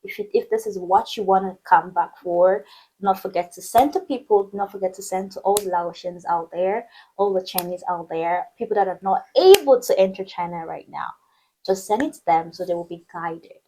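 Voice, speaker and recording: A woman's voice: mid-range voice, fairly quick, warm tone, slight background noise, poor audio. A woman hosting her own vlog channel, talking casually and upbeat, speaking straight to her viewers and interviewing a guest. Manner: chatty, upbeat, addresses viewers directly. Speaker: vlog host. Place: indoors in China.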